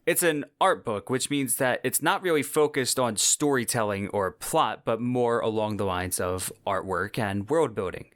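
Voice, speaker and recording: A man's voice: mid-range voice, lively intonation, moderate pace, few pauses, no echo, clean studio sound. A man hosting a podcast solo, talking casually with informal enthusiasm. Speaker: podcast host.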